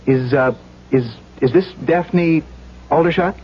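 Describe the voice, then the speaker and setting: A dull, faintly hissy old radio drama recording carries an earnest, troubled man. His voice sits a little high, and he halts, stammers and repeats his words as though badly shaken. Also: slow. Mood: nervous. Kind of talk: 1970s radio drama acting.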